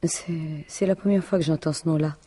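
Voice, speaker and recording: An actress playing a grieving, plain-spoken widow in a French radio detective drama, speaking haltingly. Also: female voice, low-pitched, steady tone, warm tone, slight background noise, natural voice. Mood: wary, subdued. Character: grieving, indignant widow of a murdered businessman.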